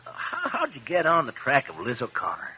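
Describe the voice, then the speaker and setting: An actor with an American accent playing an eager, admiring, folksy sidekick in a 1950s radio drama, informal and boyish in manner. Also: male voice, on the high side, wildly swooping pitch, normal speed, pushed and bright, a little hiss or hum, full character voice. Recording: muffled old 1950s radio recording.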